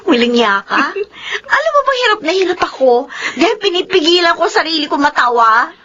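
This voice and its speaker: A scheming young Filipino woman with a high, bright voice. She is teasing and mock-innocent, swooping through her lines in a muffled radio drama recording. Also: sing-song, brisk, full character voice. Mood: gleeful, scheming. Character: sly, giggly young scheming daughter. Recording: dull lo-fi radio sound.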